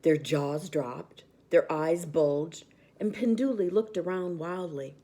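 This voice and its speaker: Low, mellow woman reading a bedtime story. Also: slow pace. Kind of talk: expressive children's story narration.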